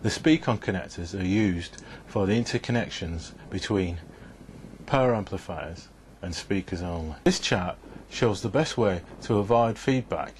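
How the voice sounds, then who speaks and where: A man's voice: low-pitched, lively intonation, a little slow, darkish tone, a little hiss or hum. A man giving a scripted, methodical instructional narration in an explanatory way.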